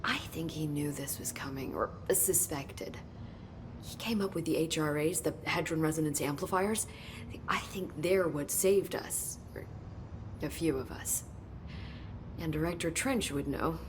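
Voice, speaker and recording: An actress playing an earnest, knowledgeable government researcher in a video game, explaining in a measured, understated way. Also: female voice, sits low, noisy background. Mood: grave, confiding. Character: earnest, knowledgeable female government agency researcher, briefing her director. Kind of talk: video game cutscene acting.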